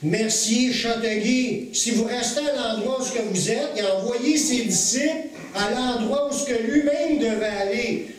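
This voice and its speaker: A man with a Québécois accent preaching a sermon to a congregation, earnest, slowing to stress key phrases. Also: very high voice, heavy reverb, distant mic, crowd noise, low-quality recording. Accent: Québécois French. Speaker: pastor. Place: church hall with congregation.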